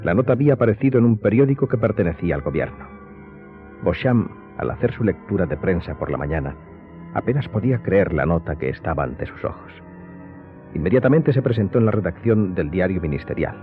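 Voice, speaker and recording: A man's voice: low-pitched, lively intonation, brisk. A man giving scripted dramatic narration as the narrator of a radio drama. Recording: thin phone-like sound, music behind.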